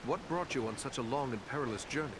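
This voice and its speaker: A man acts a courteous human ranger in a fantasy video game. The delivery is steady, formal and earnest at a middling pitch. Clear ambient noise sits behind the voice. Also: fast, lightly acted. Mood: polite curiosity.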